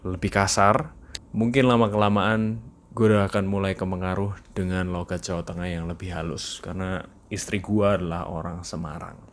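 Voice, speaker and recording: A man's voice: deep voice, level tone, moderate pace. A young man with an East Javanese Indonesian accent, chatting casually in a video journal, slipping in English phrases and laughing now and then. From East Java. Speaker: vlogger.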